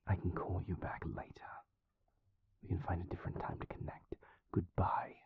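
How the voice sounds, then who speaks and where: A man playing a guarded, world-weary vampire in an intimate audio roleplay, speaking formally and measuredly in a breathy, gravelly near-whisper. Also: low voice, flat delivery, phone-quality sound. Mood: irritated, curt. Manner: formal, measured, clipped, sighing diction.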